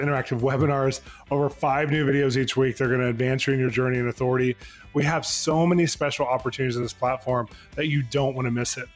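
A man hosting an interview show, reading a scripted intro and then chatting quickly and enthusiastically with guests. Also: a little high. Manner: fast-talking, enthusiastic. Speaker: podcast host.

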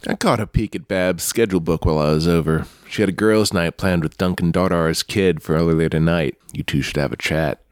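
A man playing a suave, sly underworld club owner in a comic noir radio play, speaking in a smooth, teasing, refined manner. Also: deep voice, fairly quick, hardly any pauses, dry recording, studio quality, natural voice. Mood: composed, sly.

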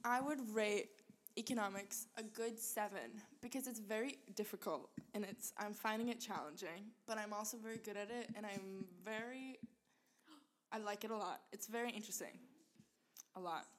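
A woman's voice: medium pitch, moderate pace. A teenage girl from Sweden co-hosting a school podcast in a crowded classroom, rambling casually, giggling and correcting herself. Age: teens. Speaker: podcast co-host. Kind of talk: casual chat.

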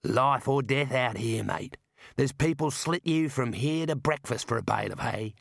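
Male narrator doing a wild, matey outback man; gruff, low, unhurried. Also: dry recording, clean studio sound. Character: rough Australian outback man, cheerful and wild.

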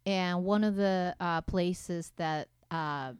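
A woman's voice: on the low side, takes it slowly, darkish tone, clear, resonant tone, no room sound. Japanese native woman guest, chatty and playful, lots of ums, dry mic. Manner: playful, laughs while telling stories; chatty storyteller with many fillers and restarts. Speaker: podcast guest. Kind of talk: casual interview chat.

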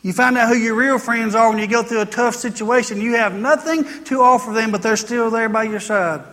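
A woman's voice: a little high, fairly quick, fairly bright tone. An American woman preaching a sermon to a congregation in an emphatic, repetitive, exhorting manner. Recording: reverberant hall sound.

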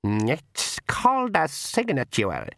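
A man's voice: a little high, huge pitch swings, slow pace, gravelly, bright, forceful tone, dry recording, studio quality, pitched above the performer's own voice, exaggerated voice. A man voicing a crafty, wizened old male pixie in a storybook, with a cracked voice and a Scottish accent. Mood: sly, wheedling. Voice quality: cracked, aged voice.

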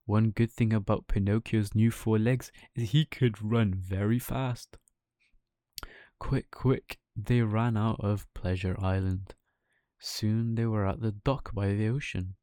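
Male bedtime storyteller: slow-paced, soft-edged tone, close dry sound. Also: fairly low pitch, fairly even intonation, dry recording.

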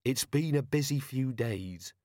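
A man voicing an earnest young police officer with a gentle, deferential manner in a storybook reading. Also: a little high, sing-song, normal speed, no echo, clean studio sound, voice pushed up.

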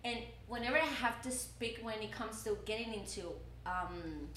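A woman's voice: fairly high pitch, normal speed, fairly bright tone, roomy sound, slight background noise, rough recording. A woman in her twenties from Cuba, speaking English with a Cuban Spanish accent in a casual chat, often repeating words as she starts a thought. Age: 20s. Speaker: journalism student guest.

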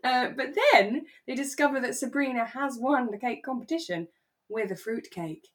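A woman's voice: fairly high pitch, normal speed. A woman co-hosting a podcast, chatting casually and retelling things expressively, acting out what people said. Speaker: podcast co-host. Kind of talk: casual chat.

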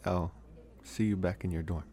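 A young man playing a teasing, awkward, affectionate college vampire boyfriend in an ASMR roleplay, speaking softly and hesitantly to the listener with wry pauses. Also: low-pitched, fairly even intonation. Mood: tender, hesitant.

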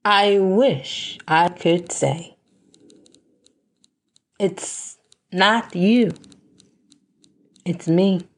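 A woman delivering a scripted spoken-word monologue in a deliberate, measured way, with pauses between phrases. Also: lowish voice. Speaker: podcast host.

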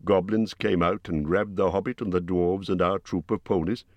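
A man voicing an old wizard, calm, measured and tactful. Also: low voice, dry recording, natural voice.

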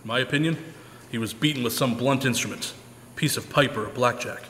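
An actor playing a matter-of-fact hospital doctor in a live radio drama, brisk and clinical. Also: male voice, medium pitch, fairly bright tone, close to an everyday voice. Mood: brisk, grave. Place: church hall stage. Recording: echoey hall, background noise.

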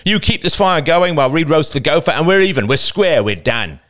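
A tall teenage bully with a raised, mocking voice, all sneers and taunts, as a man puts him on for a storybook reading. He swings between scornful drawl and sudden bellowing, loud and pushy. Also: a little high, sing-song, a little fast, voice pushed up. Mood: sneering.

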